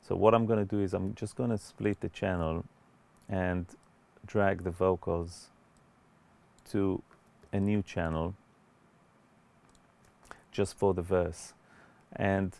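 A man in his forties giving an explanatory tutorial as a music producer, in a measured, hesitant way. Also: low voice, level tone, gravelly, a little mellow. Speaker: music producer and mixing instructor. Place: indoor recording studio.

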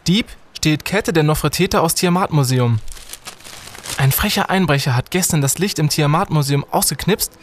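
A man's voice: a little high, normal speed, slight background noise, close to an everyday voice. A young man playing a brainy, self-important student detective in an audio drama, speaking emphatically. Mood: eager. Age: young adult. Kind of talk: German audio drama in youth detective style.

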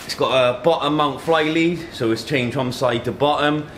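A man's voice: medium pitch, normal speed. A man in his thirties hosting a casual tech unboxing, speaking in short phrases as he handles boxes and products. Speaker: host of a tech unboxing video. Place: indoor office meeting room. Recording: echoey room, background noise and handling clatter.

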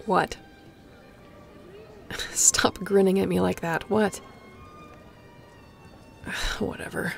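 A woman's voice: on the low side, steady tone, drawn-out words, gravelly, pauses often, in a whisper, faint music behind, full character voice. A woman playing a snarky, affectionate but guarded space captain in an intimate sci-fi audio roleplay, talking in a casual, teasing way. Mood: teasing, warm.

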